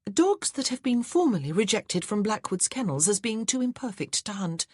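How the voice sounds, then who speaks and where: A narrator doing an eager young woman, higher voice. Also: sing-song, moderate pace, a little mellow, slightly rough, no room sound, clean studio sound. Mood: earnest. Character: earnest warm young married woman. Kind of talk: audiobook character voice.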